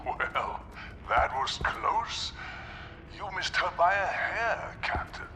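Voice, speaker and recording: A cryptic informant, knowing and measured. He speaks slowly in a somewhat high voice, as in game drama, over clearly audible noise. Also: huge pitch swings, heavily acted. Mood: knowing, sly. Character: cryptic, scheming male informant who watches everything. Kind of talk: dramatic video game acting.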